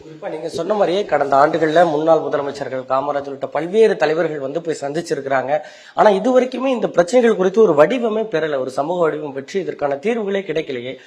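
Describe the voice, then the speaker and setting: A man's voice: fairly high pitch, lively intonation, quick pace, darkish tone. A man with a Tamil Nadu accent asking questions as a news reporter in colloquial interview style.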